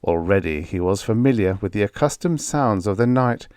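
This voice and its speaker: A man reading a vintage science fiction story aloud as an audiobook narrator, in a measured storytelling delivery. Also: lowish voice, lively intonation, a little mellow, smooth, no echo, studio quality.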